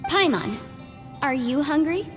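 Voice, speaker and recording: A woman's voice: high voice, animated, a little slow, long reverb tail, over music. A woman playing a poised, inquisitive young woman in a video game, speaking precisely and formally. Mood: curious.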